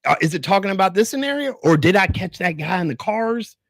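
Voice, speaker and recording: High-pitched, slangy man telling a story. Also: lively intonation, fast, no room sound, clean studio sound. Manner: slangy, emphatic, repeats phrases, says "bro" and "dude". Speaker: podcast storyteller. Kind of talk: animated casual storytelling.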